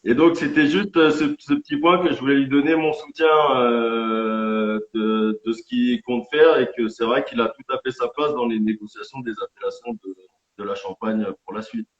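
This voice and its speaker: A man who is an independent champagne winegrower, guesting in a live-streamed interview and explaining things in an earnest, somewhat halting way. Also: medium pitch. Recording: echoey, dull lo-fi sound.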